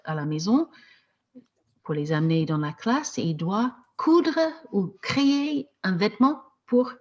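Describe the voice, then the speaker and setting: A woman teaching French as a second language, talking casually with slow, hesitant speech and frequent restarts. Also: mid-range voice, expressive, highs cut off. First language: not French. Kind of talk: casual discussion in a video meeting.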